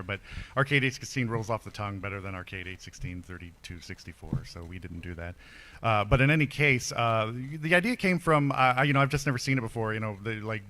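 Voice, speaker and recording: A man's voice: on the low side, fairly bright tone. A man on a convention panel telling, with many fillers and restarts, how his arcade business began, speaking into a handheld microphone. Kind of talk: casual panel storytelling.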